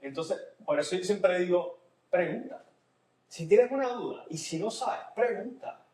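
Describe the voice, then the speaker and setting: Higher-voiced Puerto Rican man, roomy sound. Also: animated, measured pace, a little mellow. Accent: Puerto Rican Spanish, mixed with Nicaraguan. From Puerto Rico. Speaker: therapist and podcast co-host. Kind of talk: casual conversational podcast talk.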